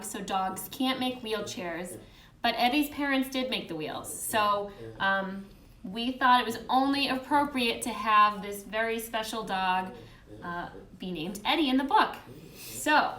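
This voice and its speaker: A woman's voice: mid-range voice, sharp tone. A woman hosting a casual live stream, chatting affectionately to her viewers. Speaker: host and children's book author. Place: indoors in a room, live-streamed. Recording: echoey room.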